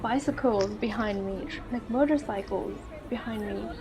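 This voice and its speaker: A woman giving casual, expressive let's play commentary on a visual novel, recorded on an earphone mic. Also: mid-range voice, moderate pace, a crowd in the background. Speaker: gamer reading a visual novel aloud. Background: game music.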